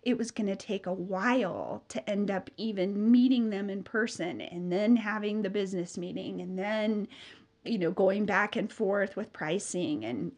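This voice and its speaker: A woman's voice: mid-range voice, unhurried, a little mellow. Chatty woman podcast host with a cold. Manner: chatty, upbeat, many fillers. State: has a cold, a bit nasal. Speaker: podcast host and business mentor. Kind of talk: solo podcast talk.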